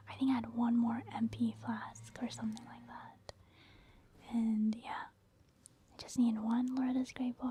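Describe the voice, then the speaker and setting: A woman's voice: fairly high pitch, a little mellow. Whispering woman, ASMR game commentary. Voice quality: breathy, mostly unvoiced whisper. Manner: casual, rambling, explains own thought process. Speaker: gaming ASMR creator.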